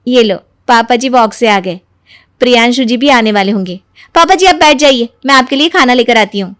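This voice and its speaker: A woman playing a sly young Indian daughter-in-law in an animated moral story, eager, insistent and full of mock innocence. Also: fairly high pitch, brisk, no echo, clean studio sound, natural voice. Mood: mock innocent.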